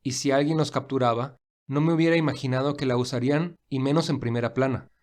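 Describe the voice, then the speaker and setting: A man playing a reserved young millionaire in an audio story, speaking in a measured, earnest, formal way. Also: medium pitch, fairly even intonation, darkish tone, close to an everyday voice. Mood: firm, earnest. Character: William, reserved young millionaire, weary and principled.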